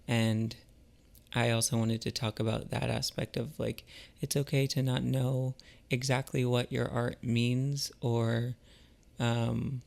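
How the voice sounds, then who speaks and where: Slow, creaky-voiced man musing. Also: mid-range voice, flat delivery, a little mellow. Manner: halting, musing, with trailing pauses. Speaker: vlogger and artist. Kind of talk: casual reflective chat to viewers.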